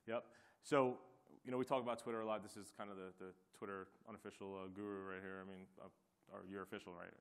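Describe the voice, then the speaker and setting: A man's voice: low voice, level tone, fast, smooth. A man presenting tips to a conference audience in an upbeat, chatty way. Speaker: presenter, host of the session. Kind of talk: conference panel talk.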